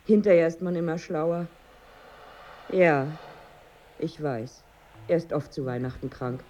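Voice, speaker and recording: A woman's voice: low-pitched, a little slow, warm tone, muffled recording. An actress playing a melancholy, bitter married woman in a radio drama, with a brooding, wry, weary manner and diction. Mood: evasive. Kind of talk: radio drama monologue and dialogue.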